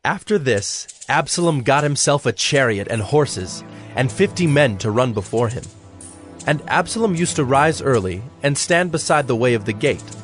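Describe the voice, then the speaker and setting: A man narrating a dramatized audio Bible in a solemn, measured storytelling manner. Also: mid-range voice, big pitch swings, no room sound, faint music behind.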